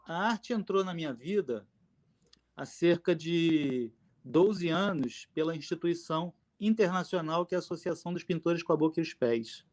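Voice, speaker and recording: Middle-aged mineiro man, fairly high voice, earnest panelist on a video call. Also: darkish tone. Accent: Brazilian Portuguese, Minas Gerais. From Minas Gerais, Brazil. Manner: earnest, measured, with frequent "é" fillers and restarts. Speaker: forum panelist, mouth painter. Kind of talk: panel talk at a public forum. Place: indoor room with his paintings behind him.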